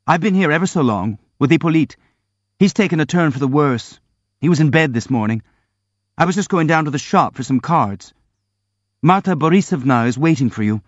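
A man's voice: on the high side, lively intonation, a little fast, dry recording, highs cut off, voice pushed up. A man voicing the general's teenage son, who talks in a blunt, chiding way.

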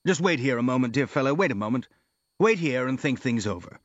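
A man's voice: medium pitch, expressive, no echo, dull audio, close to an everyday voice. A man voicing a flustered middle-aged general in an audiobook, a bewildered, fretting father who halts and repeats himself. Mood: perplexed. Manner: stammering, repetitive, halting.

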